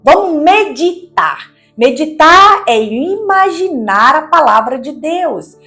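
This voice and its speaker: High-voiced Mineira woman preaching unhurriedly over music. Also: sing-song, slow, bright, forceful tone. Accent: Brazilian, Minas Gerais. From Minas Gerais, Brazil. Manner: didactic, conversational, with rhetorical questions and repeated phrases. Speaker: host of a devotional Bible teaching. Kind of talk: devotional sermon, reading and explaining verses. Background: background music.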